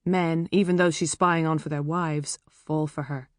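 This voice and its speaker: A woman's voice: low-pitched, steady tone, a little slow, no room sound, clean studio sound. A woman with an Irish accent narrating a first-person novel in a chatty, wry way. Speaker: audiobook narrator.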